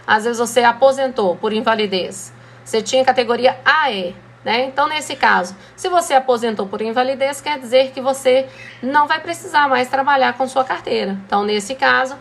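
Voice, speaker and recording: A Brazilian woman with a slightly high voice teaches a driving school class in a chatty, easygoing way. She keeps checking that her listeners follow along. A faint hiss of background noise sits under the recording. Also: a bit bright. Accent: Brazilian Portuguese. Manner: conversational, checks in often with tag questions. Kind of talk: classroom lesson.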